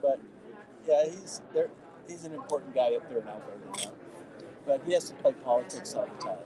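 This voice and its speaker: A man's voice: medium pitch, fairly quick. Rambling older man at a noisy booth. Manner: rambling, anecdotal storytelling. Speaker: vendor at a conference booth. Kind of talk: casual chat with visitors. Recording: loud crowd chatter behind.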